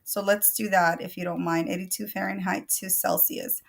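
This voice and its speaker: A woman's voice: lowish voice, level tone, moderate pace, creaky, slight background noise. A woman from El Salvador whose first language is Spanish, chatting casually on a video call livestream, laughing often and restarting her sentences. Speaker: guest.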